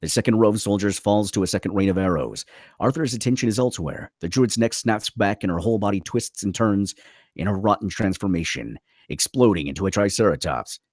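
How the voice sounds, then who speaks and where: A man's voice: lowish voice, lively intonation, brisk, a little mellow, no room sound, clean studio sound. A man reading screenplay stage directions as the narrator of a table read.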